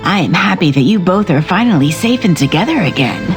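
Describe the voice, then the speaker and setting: A woman's voice: fairly low pitch, expressive, fairly quick, over music, natural voice. A woman acting a kindly middle-aged mother of a grown son in an audio drama, gracious and welcoming. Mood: warm welcome.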